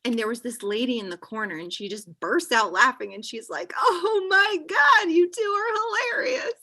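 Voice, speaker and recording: An actress plays an overexcited, talkative young woman in a comedy read. Her voice is high and very bright. She gushes with animated energy over a video call. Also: normal speed. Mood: gushing. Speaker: actor in a table read. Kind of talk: acted comedy table read. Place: small indoor room on a video call. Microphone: computer mic on video call.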